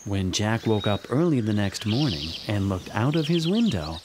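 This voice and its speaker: Low-voiced, animated male storyteller. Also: normal speed, noisy background. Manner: expressive, dramatic. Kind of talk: scripted children's story narration. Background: music.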